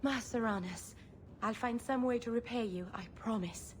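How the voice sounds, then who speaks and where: A woman's voice: on the high side, normal speed, a little hiss or hum, close to an everyday voice. A woman voicing a naive, earnest young elf with a hesitant, stubborn manner in a video game. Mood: anxious, pleading. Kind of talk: video game dialogue acting.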